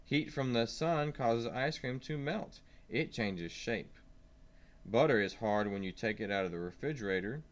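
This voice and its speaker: A man's voice: medium pitch, expressive, normal speed. A man reading a science lesson aloud to young children, stressing key words and asking simple questions as he goes. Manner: simple questions and prompts to the listener.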